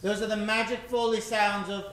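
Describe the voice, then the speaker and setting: A man hosting an old-time radio horror show, narrating in a melodramatic, ominous way with drawn-out dramatic emphasis. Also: high voice, flat delivery, very noisy. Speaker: host and announcer of a live radio drama show. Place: live stage show before an audience. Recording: roomy live stage recording with music. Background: music.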